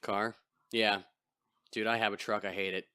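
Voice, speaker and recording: Man, deep voice, podcast host, crude joking banter, dry close mic. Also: little pitch movement, normal speed, pushed and bright, smooth, no room sound. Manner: joking, crude, self-deprecating. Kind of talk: casual chat over beers.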